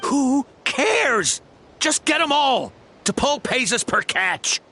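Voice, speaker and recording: A man's voice: very high voice, very animated, takes it slowly, vocal fry, pushed and bright, slight background noise, heavily acted. A man voicing a greedy, hot-headed bandit henchman in an anime game, brash and agitated.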